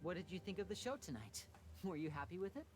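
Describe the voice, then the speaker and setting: A man playing a gentle, secretive young stage magician in a video game, speaking in a measured, soft, theatrical way. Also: high-pitched, animated, very noisy. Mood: playful, showy. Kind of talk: video game voice acting, dramatic monologue. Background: music.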